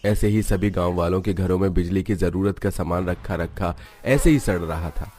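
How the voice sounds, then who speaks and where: A man's voice: low voice, moderate pace, darkish tone, slight background noise. A man narrating a scripted cartoon village story.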